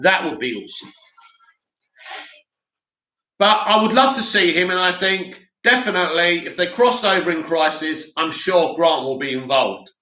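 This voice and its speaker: A man hosting a live stream, reading out viewer comments and answering them in a loud, opinionated way with lots of restarts. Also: on the high side, lively intonation, moderate pace. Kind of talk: casual live chat. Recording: echoey room.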